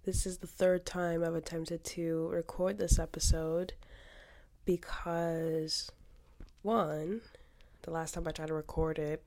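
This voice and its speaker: A woman's voice: low voice, little pitch movement, takes it slowly, darkish tone. A young woman hosting a casual solo podcast, congested from a cold, rambling with many fillers and restarts. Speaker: podcast host.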